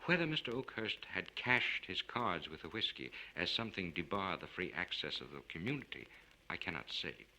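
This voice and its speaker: Male narrator, dramatic literary reading, formal, very bright forceful tone, lively intonation, slightly noisy old LP. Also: sits low, normal speed. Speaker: narrator of a literary short story. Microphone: studio recording for LP. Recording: noisy vintage spoken-word record.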